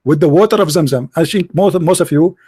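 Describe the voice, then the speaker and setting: A man's voice: on the high side, fast talker, dark tone, husky, no room sound, clean studio sound. Sarcastic man with an Arabic accent. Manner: sarcastic, mocking, broken English grammar. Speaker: livestream host. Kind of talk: mocking debate talk.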